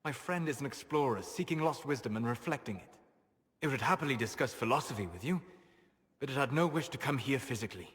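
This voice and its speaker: An actor playing a grave, scholarly elven male mage with measured, formal phrasing in a video game drama. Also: medium pitch, animated, a bit bright, in a large hall, lightly acted.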